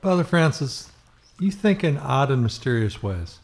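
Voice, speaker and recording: A man's voice: mid-range voice, very animated, normal speed, darkish tone. A man playing a patient, long-suffering small-town sheriff, dry and resigned, in a comic audio drama.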